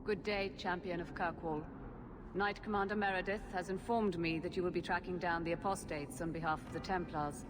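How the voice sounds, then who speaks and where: An actress playing an emotionless, efficient mage assistant in a video game, formal and precise. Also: female voice, fairly low pitch, fairly quick, natural voice. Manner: flat affect, formal, precise. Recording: long hall reverb, clear background noise.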